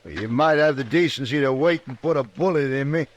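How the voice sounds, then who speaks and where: An American actor playing a sardonic, plain-spoken accused horse thief in a radio western. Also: male voice, medium pitch, brisk, lo-fi sound, lightly acted. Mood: bitter, defiant. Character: defiant, bitter accused horse thief, Old West. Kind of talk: 1950s radio western acting.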